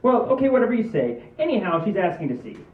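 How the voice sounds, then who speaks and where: Man as a fast, high-voiced flippant lawyer. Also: very animated. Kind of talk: comedy script table read. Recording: echoey, dull, distant room recording.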